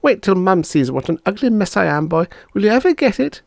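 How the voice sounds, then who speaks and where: A man's voice: high voice, very animated, a little fast, vocal fry, dry recording, studio quality, voice pushed up, exaggerated voice. A man voicing an eager, whiny little girl, a kid sister in an audiobook story. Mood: whiny, complaining. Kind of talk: audiobook character voice.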